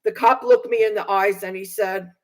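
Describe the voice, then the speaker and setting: A woman's voice: medium pitch, fairly even intonation, normal speed. Aggrieved woman in her sixties. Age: 60s. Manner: aggrieved, emphatic, repeats phrases for stress. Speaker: vlogger recounting personal experience. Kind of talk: personal testimony told to viewers.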